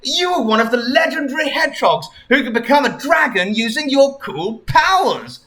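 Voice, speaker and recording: A man's voice: very high-pitched, sing-song, moderate pace, sharp tone, voice pushed up, heavily acted. Man doing a pompous pharaoh king. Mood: proclaiming.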